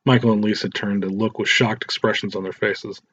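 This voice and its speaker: A man's voice: fairly low pitch, steady tone, a little fast, fairly bright tone. A man narrating a scripted story as an audiobook reader. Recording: roomy echo, dull lo-fi sound.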